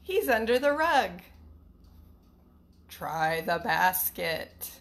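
A woman's voice: fairly low pitch, sing-song, extremely slow, a bit bright. A middle-aged woman, a teacher chatting casually and reading a picture book to children from home. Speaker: elementary school teacher reading storytime. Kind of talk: casual livestream chat and picture-book read-aloud for children. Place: small living room at home, indoors. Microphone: phone at arm's length. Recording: some background noise, home phone recording.

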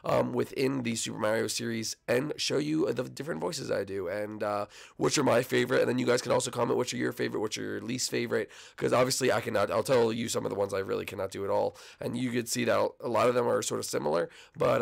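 A young man chats casually over gameplay footage, his voice hoarse and froggy. He rambles with plenty of ums and uhs, and a gravelly fry runs through his speech. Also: mid-range voice, fast talker, few pauses. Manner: rambling, many fillers. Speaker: gaming channel host. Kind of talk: casual video commentary.

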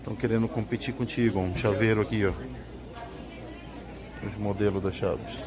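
Low-voiced Brazilian man in a busy market. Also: fairly even intonation, moderate pace. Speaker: vlogger. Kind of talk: casual walking tour narration. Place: large indoor swap meet. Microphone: handheld phone camera. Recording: busy indoor market crowd behind.

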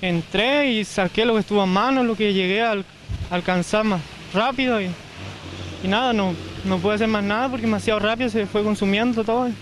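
A young man with a notably high voice talks haltingly into a handheld reporter mic, stopping and repeating words. He is outdoors, and clear background noise sits under his voice in the field recording. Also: a bit bright. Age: young adult. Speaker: homeowner interviewed after fire. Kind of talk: on-the-spot news interview. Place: outdoors at a burned house site.

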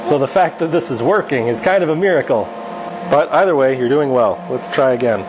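A man's voice: mid-range voice, lively intonation, fairly quick, background noise. A man in his thirties casually teaching his wife to weld in a home garage, offhand and self-deprecating. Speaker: channel host teaching welding. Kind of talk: casual instructional chat. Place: home garage workshop, indoors.

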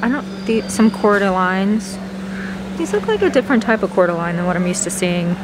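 A woman's voice: medium pitch, animated, a little fast. A woman giving casual, chatty shopping vlog commentary, appreciative and half talking to herself as she browses, recorded on a handheld camera in a large store garden center. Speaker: vlogger, host. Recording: clear store background noise, ventilation hum.

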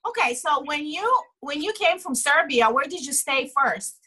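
A woman hosts a video call interview with a chatty, enthusiastic manner. Her voice is high and bright as she asks questions over the webcam. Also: lively intonation, moderate pace. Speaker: host. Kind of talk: casual interview. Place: indoor home room.

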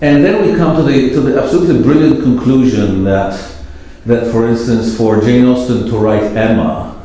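A man's voice: mid-range voice, moderate pace, warm tone. A middle-aged man giving a conference keynote from a podium, wry and self-deprecating, with many hesitations. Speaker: keynote speaker. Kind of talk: conference lecture. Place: large lecture hall, standing at a podium. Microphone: podium mic, far camera. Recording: very echoey hall.

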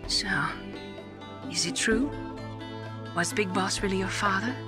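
A woman playing a reserved, caring medical scientist in a video game, soft-spoken and measured. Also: mid-range voice, over music, lightly acted. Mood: shocked. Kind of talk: dramatic video game acting.

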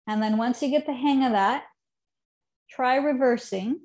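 Calm woman guiding a yoga class. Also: high voice. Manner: calm, unhurried cueing with soft trailing endings. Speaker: yoga teacher. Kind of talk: guided yoga instruction. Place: living room at home, seated on a chair.

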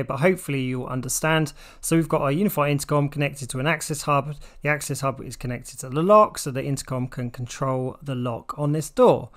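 Higher-voiced English man explaining tech. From United Kingdom. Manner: plain-spoken, step-by-step explaining. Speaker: tech tutorial presenter. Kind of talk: instructional walkthrough, informal explanation.

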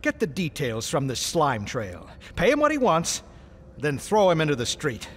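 A man's voice: on the high side, wildly swooping pitch, normal speed, a bit bright, a little hiss or hum. A man playing a scheming, irascible military colonel in a space-opera audio drama, with blustering authority. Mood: outraged.

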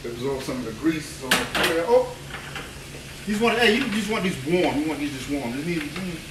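A man's voice: a little high, lively intonation, a little fast. A man, a chef chatting exuberantly while he cooks live in his home kitchen, repeating phrases as he goes. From New Orleans. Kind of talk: live cooking stream chat. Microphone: phone camera moved around the kitchen. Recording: echoey kitchen, phone mic at a distance, noisy frying. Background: frying and sizzling food.

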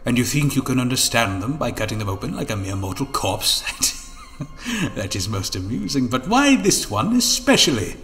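A man's voice: medium pitch, sing-song, normal speed, fairly bright tone, soft background music. A man playing a mocking, theatrical villain schemer in an audio drama, silky and taunting in his florid delivery. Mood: sly, taunting.

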